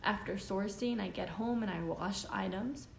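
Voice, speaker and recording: A woman's voice: mid-range voice, normal speed, vocal fry, a little hiss or hum. A young woman with a Spanish accent, chatting as she explains her eBay reselling steps and checks in with "okay?". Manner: chatty, often adds "you know" and "okay?". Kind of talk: casual how-to vlog. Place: living room with a couch, indoors.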